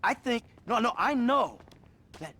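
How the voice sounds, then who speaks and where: An actor playing a weary, defensive middle-aged ex-criminal who talks bluntly and swears, in a video game cutscene. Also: male voice, very high-pitched, lively intonation, measured pace, pushed and bright, full character voice. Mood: agitated. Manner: blunt, profane, self-mocking. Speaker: therapy patient.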